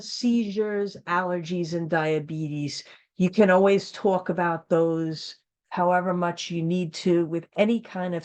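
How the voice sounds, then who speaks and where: A woman's voice: on the low side, a little mellow. An American woman giving an informal training lesson, speaking plainly as an instructor and repeating key points for emphasis. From United States. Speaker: instructor of a training course for school founders.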